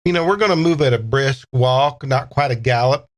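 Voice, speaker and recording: A man's voice: medium pitch, normal speed. A man talking casually as a player in a tabletop role-playing game, describing his character's moves.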